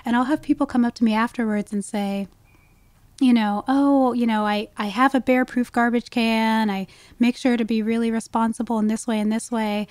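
Higher-pitched woman explaining in an interview. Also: fairly even intonation, moderate pace, darkish tone, no room sound. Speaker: guest, wildlife ecologist. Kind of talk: conversational podcast interview.

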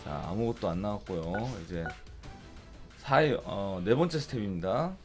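A man with a hoarse, cracking, sore-throated voice giving casual commentary as the host of a gaming video. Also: fairly low pitch, big pitch swings, moderate pace. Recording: loud music behind the voice. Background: loud music.

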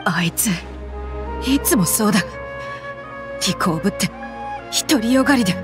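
A woman's voice: mid-range voice, lively intonation, normal speed, over music, close to an everyday voice. An actress playing a tough, blunt young woman mercenary in a game cutscene. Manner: blunt, rough-edged speech.

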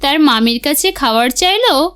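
A woman narrating a scripted cartoon fairy tale as an expressive storyteller. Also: high voice, a little slow. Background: music.

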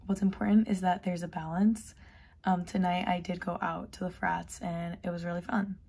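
Young female vlogger, lost-voice hoarseness, casual phone-selfie delivery, slightly low voice. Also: level tone, moderate pace, a little mellow, slight background noise. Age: young adult. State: losing her voice, hoarse. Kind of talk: casual vlog talk to camera. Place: dorm room. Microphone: phone at arm's length.

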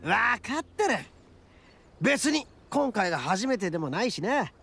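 A young male oni gang boss from an anime game, swaggering and big-hearted. His voice is high for a man and full of gravel. He talks rough and proud, over background music. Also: sing-song, measured pace, fairly bright tone, heavily acted. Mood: confident, reassuring. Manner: swaggering, rough colloquial speech. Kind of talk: Japanese anime game voice acting.

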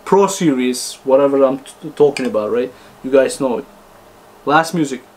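A man's voice: medium pitch, animated, normal speed, very noisy. A man giving a casual, unscripted product review, talking to viewers in choppy, informal phrases. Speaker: host reviewing car audio speakers.

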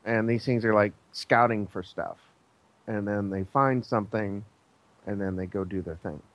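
A man telling a story casually as a guest, halting and searching for his words. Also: fairly low pitch, a bit bright. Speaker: guest, air traffic controller.

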